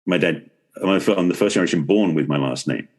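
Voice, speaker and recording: A man's voice: low-pitched, fast. A middle-aged man from England with an English accent, talking reflectively as a guest in a casual podcast conversation. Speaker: podcast guest, relationship expert and author.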